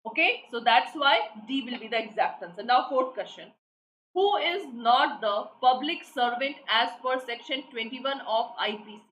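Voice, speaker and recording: An Indian English woman tutor runs a live online class, repeating each question and pressing students for the answer. Her voice sits a little high. It is recorded in an echoey room with faint hiss behind it. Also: expressive, normal speed, a bit bright. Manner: repetitive, prompting students for answers.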